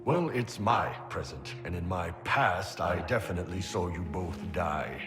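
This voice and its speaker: A very low-voiced, gruff warrior in a video game, grim and resigned to dying. He talks bluntly with dark, dry wit, over loud music. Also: animated, measured pace. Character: grim, sardonic male warrior dying of red lyrium poisoning. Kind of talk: video game cutscene acting.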